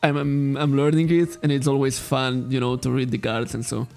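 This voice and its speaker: Gravelly Catalan-accented man, rambling casually. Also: medium pitch, level tone, normal speed, darkish tone, faint music behind. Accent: Catalan-accented English. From Spain. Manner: rambling, self-correcting, laughs often. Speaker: trading card shop streamer. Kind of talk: casual livestream chat.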